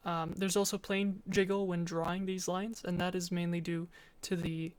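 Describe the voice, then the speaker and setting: A woman presents a student project in a low, fairly monotone voice. Her delivery is matter-of-fact and explanatory, with a soft, mellow tone and music behind her. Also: moderate pace. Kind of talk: informal presentation with game demo narration.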